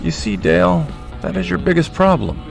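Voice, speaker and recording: Gravelly, domineering crime-boss father. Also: fairly high pitch, very animated, normal speed, over loud music, exaggerated voice. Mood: mocking, menacing. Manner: mocking, menacing, theatrical outbursts. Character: domineering, crude, sarcastic crime-boss father of grown son. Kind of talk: radio drama acting.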